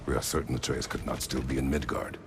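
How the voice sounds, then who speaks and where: An extremely deep, gravelly warrior god for a game drama. He is stern and weary, biting off terse commands. Ambient noise sits clearly behind him. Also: fairly even intonation, fast talker. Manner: terse, clipped, gruff. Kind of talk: video game drama acting.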